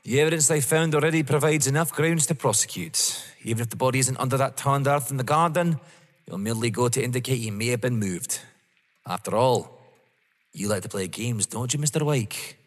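An actor playing a methodical, smugly polite police inspector in an audio drama, formal and insinuating. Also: male voice, medium pitch, fairly quick, natural voice. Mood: stern. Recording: long hall-like reverb.

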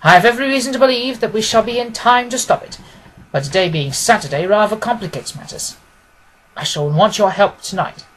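A man's voice: high voice, a little fast, fairly bright tone, rough recording. A man voicing a keen, confident male detective in an audiobook, with an emphatic, decisive way of talking. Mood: urgent, decisive. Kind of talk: audiobook character dialogue.

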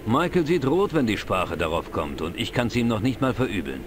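An actor playing an older, knowledgeable, wry pub regular in an adventure game, explaining things in a dry, measured way. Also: male voice, on the low side, sing-song, noisy background. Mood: dry, gossipy. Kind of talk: dubbed adventure game dialogue. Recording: music behind the voice. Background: game music.

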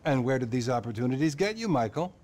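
An actor playing a smug, detached middle-aged therapist in a video game, measured and probing. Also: male voice, mid-range voice, very animated, a little mellow. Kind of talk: video game cutscene dialogue.

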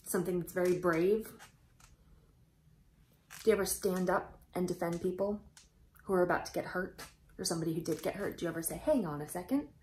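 A woman in her thirties reading a storybook aloud to children, expressive and emphatic, addressing them directly. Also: fairly low pitch, slight background noise, poor audio. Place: indoors, small room, close to camera.

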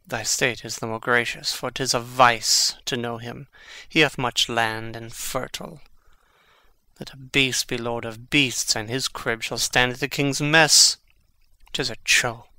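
An actor playing a witty, sardonic young prince in a Shakespearean audio drama, speaking in an articulate, theatrical, mocking way. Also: male voice, mid-range voice, steady tone, a little slow, pushed and bright, no room sound. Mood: dryly mocking.

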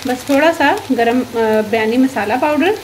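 Woman, high voice, homely cooking narration, blessings throughout, faint kitchen sizzle. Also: normal speed, slight background noise. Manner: frequent religious blessings, homely instructive. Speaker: cooking channel host. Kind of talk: narrating a home cooking recipe. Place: home kitchen.

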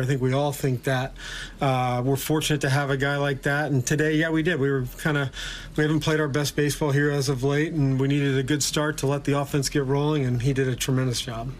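A middle-aged man, a baseball manager, answering reporters' questions after a game in a measured way, with frequent fillers and restarts. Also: medium pitch, a little hiss or hum. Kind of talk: postgame press conference answers. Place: indoor press conference room.

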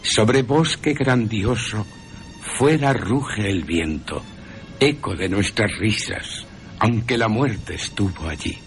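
A man's voice: fairly low pitch, expressive, slow pace, soft background music, voice pushed up. A man voicing a romantic young woman in a lyrical, wistful manner, with an accent from Spain. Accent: Spanish (Spain). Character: romantic, dreamy young woman reciting poetry.

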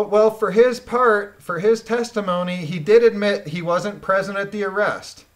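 A woman reading documents aloud and commenting on them in a blunt, emphatic, indignant manner. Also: mid-range voice. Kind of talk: reading a court transcript aloud with critical commentary.

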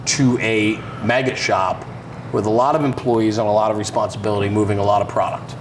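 A man's voice: on the low side, fairly even intonation, fairly quick. A middle-aged man in casual comedic banter, teasing and questioning with mock seriousness as he co-hosts. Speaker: co-host reading viewer comments. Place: indoor garage workshop. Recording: clear background noise in a workshop.

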